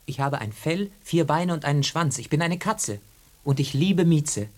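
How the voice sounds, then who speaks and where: Naive, earnest pampered tomcat. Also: male voice, fairly high pitch, expressive, normal speed. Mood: earnest, smitten. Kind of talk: German radio play acting.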